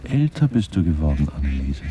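A man's voice: very deep voice, big pitch swings, fairly quick, warm tone, a little hiss or hum. An actor in a radio play voicing a leering man who muses to himself in an insinuating drawl. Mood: musing. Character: leering, lecherous man muttering at passing girls. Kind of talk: radio play inner monologue.

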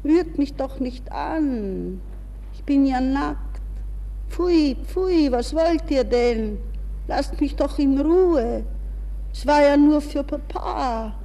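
An actress playing a distraught young woman in a hushed, dreamy, intense inner monologue in a 1960s radio play. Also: high-pitched, lively intonation, takes it slowly, full character voice. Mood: frightened protest. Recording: reverberant 1960s radio recording with hiss.

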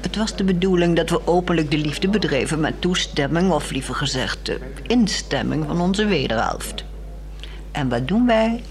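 A woman acting a weary, resolute married woman in a 1960s radio drama, speaking in a measured, articulate and wry way. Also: sits low, lively intonation.

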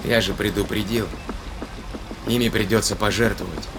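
An actor in an audio drama playing an aggressive, impatient spaceship captain. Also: male voice, on the low side, fairly even intonation, moderate pace, background noise, lightly acted. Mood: commanding, bloodthirsty. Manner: commanding, impatient. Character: aggressive, hot-headed male spaceship captain on the hunt. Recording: loud music and effects behind voice.

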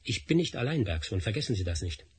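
An actor playing a defiant researcher who coldly threatens his rival in a radio play, with a husky voice. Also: lowish voice, sing-song, darkish tone, a little hiss or hum. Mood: threatening. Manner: cold, threatening, measured. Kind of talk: radio drama acting.

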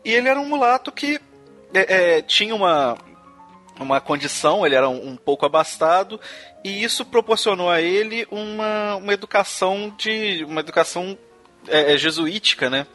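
A man's voice: high voice, lively intonation, moderate pace, bright, forceful tone, faint music behind. A Brazilian man hosting a podcast, chatting casually about history with frequent restarts and tag questions. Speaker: podcast host. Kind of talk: casual conversational chat on music history.

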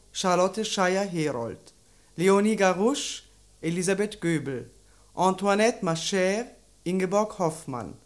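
Formal radio announcer, measured reading. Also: mid-range voice. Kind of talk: scripted radio credits announcement.

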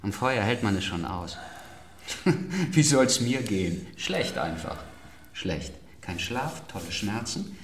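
An actor playing a querulous, ailing baron with a mocking, grumbling manner in a radio play. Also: male voice, on the low side, animated, slow pace, slight background noise. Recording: roomy, echoing interior.

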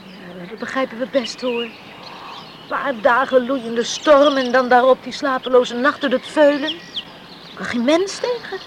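A woman's voice: sits high, unhurried, background noise. An actress in a Dutch radio play as a caring but firm, down-to-earth countrywoman.

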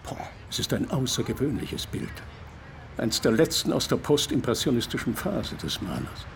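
A man's voice: mid-range voice, very animated, moderate pace, creaky, slight background noise. An actor playing an elderly, erudite Cuban art critic in a German radio drama, musing and paternal as he savors his descriptions. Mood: enthusiastic. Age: older.

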